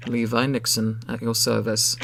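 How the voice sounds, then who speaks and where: Soft, authoritative shopkeeper, played by a man. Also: medium pitch, completely flat delivery, creaky, a little hiss or hum. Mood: smooth, patient. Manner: formal, measured, deferential. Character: tall polite mid-thirties male shopkeeper, quietly menacing.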